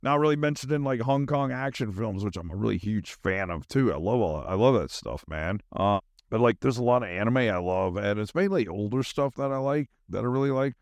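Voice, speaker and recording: A man's voice: on the low side, lively intonation, brisk, dry recording, clean studio sound. A middle-aged man hosting a podcast, chatting casually and enthusiastically in a scattered, slangy way as he thinks aloud. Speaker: podcast host. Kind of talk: casual rambling solo chat.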